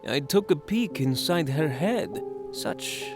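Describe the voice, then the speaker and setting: Guy doing a slow sneering vampire lord, kinda high smooth voice, music under it. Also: expressive. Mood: gloating. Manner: silky, taunting, slow and theatrical. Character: ageless, mocking male vampire lord. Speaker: villain. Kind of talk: audio drama acting. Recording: music score behind the voice.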